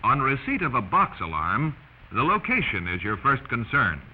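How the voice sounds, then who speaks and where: A man's voice: medium pitch, wildly swooping pitch, normal speed. An American man narrating a 1940s instructional film in an emphatic, instructive manner, addressing the listener directly. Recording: muffled, noisy 1940s film soundtrack.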